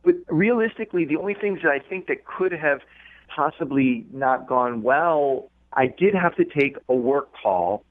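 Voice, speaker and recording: A man's voice: a little high, expressive, moderate pace, dry recording. A man calling a radio show over the phone, casually recounting things in a defensive, hedging way. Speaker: radio call-in guest. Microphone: telephone. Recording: narrow tinny phone line.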